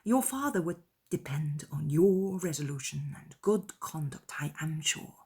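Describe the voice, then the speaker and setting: A woman voicing a sensible middle-aged aunt in an audiobook drama, speaking with measured, kindly and confiding earnestness. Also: low voice, expressive, a little mellow, slightly rough, full character voice. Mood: earnest, cautioning. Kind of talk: dramatized audiobook character dialogue.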